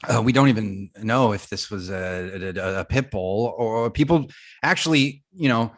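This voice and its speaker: A man playing a flustered, defensive young werewolf, halting and stammering, in a comedy script reading. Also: lowish voice. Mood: sheepish, confused. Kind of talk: comedic script table read.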